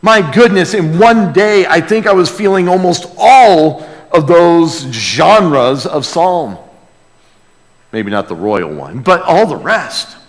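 American male pastor, slightly high-voiced, earnest, emphatic conversational sermon, long hall reverb. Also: expressive, takes it slowly. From United States. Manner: earnest, emphatic, dropping to hushed asides, rhetorical questions. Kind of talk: sermon, conversational Bible teaching.

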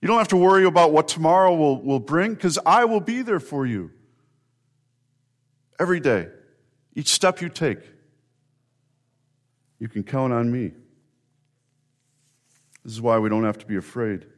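A man preaching an Easter sermon as a pastor, earnest and warm in delivery, sometimes building to emphatic repetition. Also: a little high, expressive, moderate pace, long pauses.

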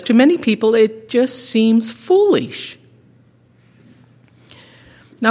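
Slow, teacherly woman preaching in a church. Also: mid-range voice. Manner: measured, teacherly, earnest. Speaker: lay preacher. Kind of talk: sermon. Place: church sanctuary. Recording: echoey sanctuary with long reverb.

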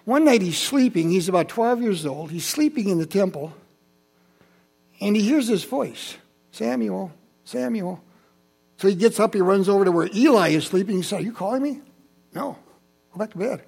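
Gravelly-voiced older man telling church stories. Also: high voice, lively intonation, moderate pace, pauses often, a little mellow. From Holland, Michigan. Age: 70s. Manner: conversational, chatty asides to congregation. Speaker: church speaker. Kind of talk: personal sermon storytelling.

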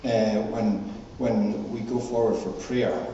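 A man's voice: on the low side, steady tone, slow, dark tone. A man leading a church service, speaking informally to a congregation or reading scripture. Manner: chatty, off the cuff. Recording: echoey hall, distant, dull, faint noise.

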